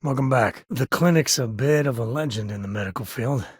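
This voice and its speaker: An actor playing a wry, laid-back former ranger and space explorer in a video game, a husky man talking in a folksy, conversational way. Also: mid-range voice, a little fast, no room sound, clean studio sound, natural voice. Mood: wry, casual. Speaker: video game companion character. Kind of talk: video game voice acting, casual dialogue.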